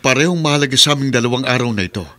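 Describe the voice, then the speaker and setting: A man's voice: medium pitch, racing, a bit bright, natural voice. A man playing an earnest, loyal young groom in a radio soap, pleading in Tagalog mixed with English. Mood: hurt, steadfast. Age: young adult. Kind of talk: Philippine radio soap opera acting.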